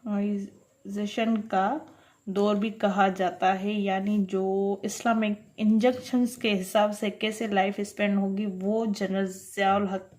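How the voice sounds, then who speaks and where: A woman's voice: medium pitch, flat delivery, takes it slowly, darkish tone. A woman giving an explanatory law lecture, mixing in English terms and often restating her points. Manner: repeats and rephrases points, checking in with the listener.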